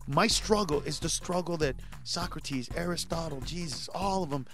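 Male stand-up comic in his 40s, born in Honduras, interview guest; fairly high voice, lively, shouts for punchlines. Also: moderate pace, soft background music. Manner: animated, rising to shouts when acting out bits. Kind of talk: casual interview chat.

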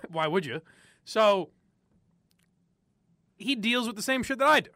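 A man's voice: high voice, expressive, slow pace, bright, forceful tone, dry recording. A young man from the area, hosting opinionated talk radio and ranting with frequent laughs. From Boston area. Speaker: sports radio host.

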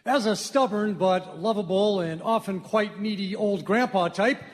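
A high-voiced, stubborn old grandpa. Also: male voice. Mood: grateful. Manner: folksy, avuncular, halting. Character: stubborn, lovable, needy, old-fashioned old grandpa. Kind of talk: live comic radio play. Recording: reverberant live hall.

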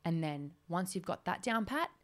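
A woman's voice: on the low side, big pitch swings, moderate pace, fairly bright tone. A woman in her twenties hosting a solo podcast, talking in a chatty, rambling way with lots of "like". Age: 20s. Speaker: podcast host.

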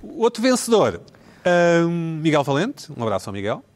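A man's voice: a little high, huge pitch swings, a little mellow. A man hosting a comedy panel show, reading listener emails aloud with teasing asides. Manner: fast, playful, reads messages aloud with teasing asides. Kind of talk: casual comedy panel chat.

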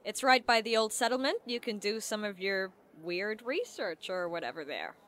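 A woman's voice: medium pitch, animated, normal speed, fairly bright tone, lightly acted. A woman playing a skeptical, plain-spoken park ranger in an audio drama, with a dry, folksy manner. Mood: dry, guarded.

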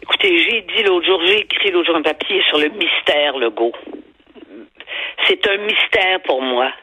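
An older woman with a Quebec French accent and a husky voice, giving opinionated radio commentary in an emphatic, halting, digressive way full of rhetorical asides. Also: lowish voice, pushed and bright, a little hiss or hum, poor audio. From Quebec, Canada. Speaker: columnist and commentator on a talk show.

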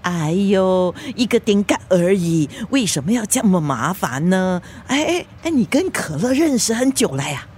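An actress in a radio drama playing a nosy, excitable middle-aged mother with a Singaporean accent, probing and exclamatory. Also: medium pitch, normal speed, few pauses, a little hiss or hum, lightly acted. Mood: eager, welcoming.

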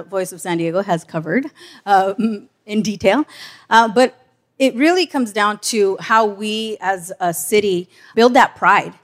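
Female politician from Southern California, medium pitch, measured and explanatory panel delivery. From National City, California, United States. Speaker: city mayor, panel guest.

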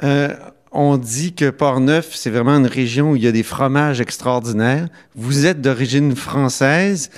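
Man, medium pitch, Québécois accent, interviewer, conversational, informal, halting questions.

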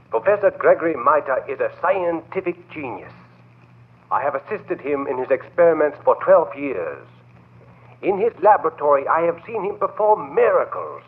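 Devoted laboratory assistant, animated delivery, 1940s radio horror, phone-like thin recording. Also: male voice, on the high side. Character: devoted, earnest laboratory assistant to a mad scientist. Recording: tinny band-limited 1940s radio recording.

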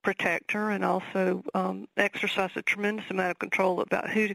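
A woman's voice: mid-range voice, little pitch movement, brisk, gravelly, fairly bright tone, no echo, poor audio. A woman with a Southern accent, an author speaking as a radio interview guest, thoughtful and full of fillers and restarts.

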